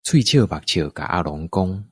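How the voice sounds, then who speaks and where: A man's voice: sits low, expressive, moderate pace, slightly rough, no room sound. A man with a Taiwanese accent narrating a scripted radio drama as a storyteller.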